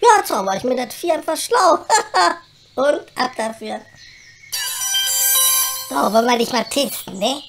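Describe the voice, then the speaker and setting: A woman's voice: high voice, animated, measured pace, pauses often, over music. A woman voicing a brash, feisty, grumbling elf with a blunt, colloquial manner in a comedy audio drama. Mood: determined. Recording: clearly noisy background.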